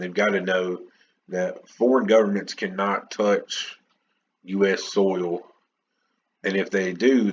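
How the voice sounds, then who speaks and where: Deep-voiced rambling male podcast host. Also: muffled recording, a little hiss or hum. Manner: rambling, opinionated, many restarts and fillers. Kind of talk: unscripted solo podcast chat.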